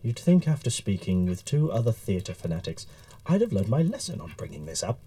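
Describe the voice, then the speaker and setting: Man playing an earnest, mellow-voiced Victorian writer. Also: on the low side, sing-song, dry recording. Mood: sheepish. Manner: formal, measured, wry. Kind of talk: audio drama acting.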